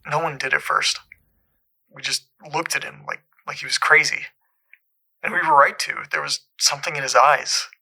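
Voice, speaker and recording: An actor playing a troubled caller in an audio drama, haltingly and earnestly recounting an eerie supernatural memory. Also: male voice, fairly high pitch, creaky, sharp tone, slightly rough, exaggerated voice, low-quality recording. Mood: troubled, tense.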